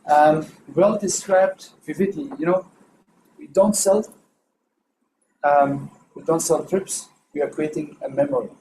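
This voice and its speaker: A man's voice: fairly high pitch, unhurried, dark tone, slight background noise, poor audio. Encouraging man evaluator. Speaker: speech evaluator. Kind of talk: spoken feedback at a club meeting.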